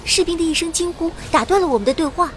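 A young heroine telling her fantasy adventure as radio drama. Her high voice is expressive and dramatic in delivery, with clear noisy effects behind it. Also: fast. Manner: expressive storytelling. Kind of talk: scripted dramatic radio narration.

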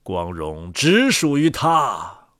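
A man's voice: medium pitch, huge pitch swings, slow, a bit bright, no room sound, studio quality. A man narrating a fairy tale in a solemn, reflective, scripted style. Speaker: storyteller.

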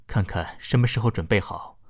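An actor playing a calm, dutiful doctor in an audio drama, speaking in a measured, formal, politely firm way. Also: male voice, lowish voice, vocal fry, husky.

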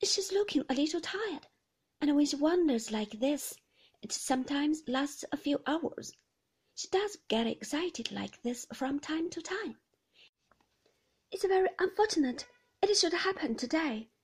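A woman's voice: high voice, lively intonation, measured pace, a little mellow, voice pushed up. A woman voicing a flustered nurse who speaks in a soothing, apologetic, fussing way.

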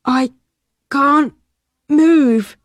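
Woman voicing a surly fat male peddler, slow and raised in pitch, dry close recording. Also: bright, forceful tone, no echo, exaggerated voice. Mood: weak. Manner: slow, gruff, hostile. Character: fat grumpy middle-aged male peddler.

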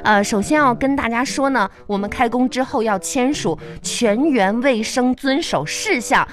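An actress playing a brisk, official office manager chairing a meeting in a comedy radio play. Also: female voice, fairly high pitch, close to an everyday voice. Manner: official, orderly, reining in a colleague.

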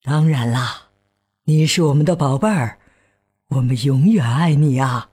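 Man acting a breathy, comforting young child's father. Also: mid-range voice, measured pace. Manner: reassuring, gentle, explanatory. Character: calm, comforting father, patient and proud. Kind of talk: children's radio drama acting.

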